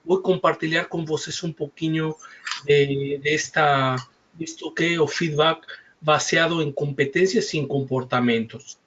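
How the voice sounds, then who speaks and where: A man's voice: fairly high pitch, steady tone, measured pace, fairly bright tone. Mexican man speaking Portuguese, polite. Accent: Mexican Spanish. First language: Spanish. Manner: polite, searching for words. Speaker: panelist, human development specialist. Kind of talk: self-introduction on a web panel. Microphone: video call. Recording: muffled video call audio.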